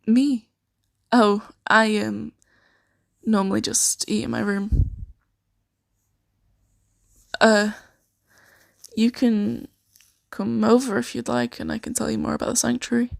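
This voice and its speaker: A woman improvising an ASMR roleplay as a kind, shy, flustered boss of a secret sanctuary, speaking softly and hesitantly with giggles. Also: on the high side, little pitch movement, measured pace, vocal fry, no echo, clean studio sound. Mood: shy, flirtatious. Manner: hesitant, giggly, soft-spoken.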